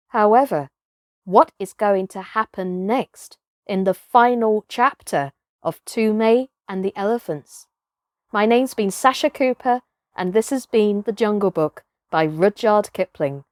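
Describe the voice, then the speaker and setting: A woman's voice: medium pitch, no echo, studio quality. A woman reading a children's story as a dramatic narrator, with theatrical, emphatic phrasing.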